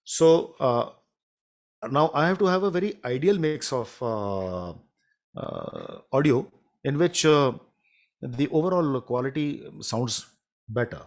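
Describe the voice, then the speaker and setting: A man with a baritone voice from India, giving a halting live demonstration of audio mixing in his home studio, recorded on a condenser microphone from a distance. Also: huge pitch swings, creaky. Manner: hesitant, many fillers and restarts. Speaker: music studio instructor.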